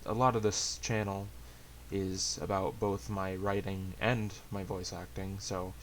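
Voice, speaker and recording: A man chatting casually to viewers, hesitant and halting, with a self-deprecating, rambling way of talking. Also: low voice, little pitch movement. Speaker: amateur story reader and channel host. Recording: hissy amateur home recording.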